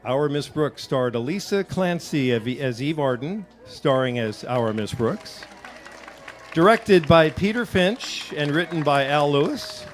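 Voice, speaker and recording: A man's voice: a little high, animated, slow. Old-time radio announcer man, music behind. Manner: formal, salesmanlike presentation.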